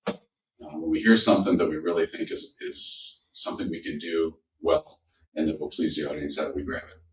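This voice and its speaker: Band musician, man, very low voice, halting delivery, distant roomy mic. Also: fairly even intonation, a bit bright, slight background noise. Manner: hesitant, many fillers. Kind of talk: casual interview chat.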